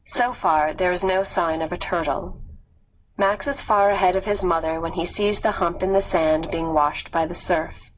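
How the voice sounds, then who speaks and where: A woman reading scripted nonfiction as an audiobook narrator, measured and expressive. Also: on the low side, a little hiss or hum, low-quality recording.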